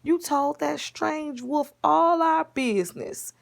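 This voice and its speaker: A woman's voice: high voice, takes it slowly, creaky, pitched above the performer's own voice, exaggerated voice. A woman playing a feisty old grandmother in a storybook tale, folksy, affectionate and chiding. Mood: scolding. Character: feisty old grandmother, grateful but scolding.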